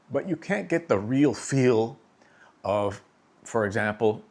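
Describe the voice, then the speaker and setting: A man teaching drumming in a relaxed, conversational way, asking rhetorical questions and checking in with his listeners. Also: mid-range voice, slightly rough. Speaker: drum teacher. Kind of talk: instructional talk to camera.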